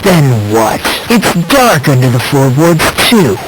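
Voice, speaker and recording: A man's voice: fairly high pitch, very animated, slow, background noise. A man voicing a polite, unsettling teenage boy in a video game, with theatrical, needling questions. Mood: probing, reasoning. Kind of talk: English video game voice acting.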